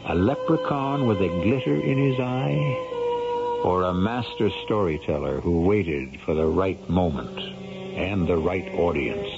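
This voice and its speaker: A man hosting a radio program, delivering scripted narration in a measured, dramatic way. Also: low voice, expressive, vocal fry. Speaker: host. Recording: muffled vintage radio broadcast with music.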